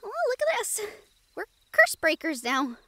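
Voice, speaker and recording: A woman's voice: very high-pitched, big pitch swings, measured pace, pushed and bright, full character voice. A woman playing a sarcastic, teasing young woman in an audio roleplay, with a wry way of talking.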